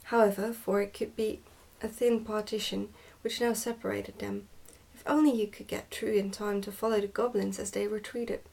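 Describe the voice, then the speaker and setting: A woman reading a storybook aloud in a soft, careful, partly whispered ASMR style. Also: medium pitch, flat delivery, moderate pace, vocal fry, hardly any pauses, far from the mic, poor audio.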